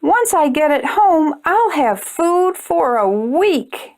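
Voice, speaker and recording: A woman voicing a tiny, determined, upbeat male beetle in a children's storybook, with expressive delivery. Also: high-pitched, slow pace, exaggerated voice. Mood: delighted.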